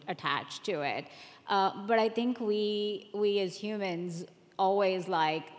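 A woman speaking as a panelist in a conference discussion. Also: fairly low pitch. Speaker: panelist, media executive. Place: large indoor conference venue. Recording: echoey conference hall with long reverb.

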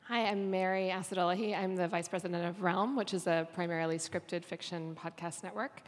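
Lower-voiced woman panelist in an echoing hall. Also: fairly even intonation, fairly quick. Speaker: panelist, podcast executive. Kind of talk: informal self-introduction on a panel. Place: on stage at a conference session. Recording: reverberant conference room sound.

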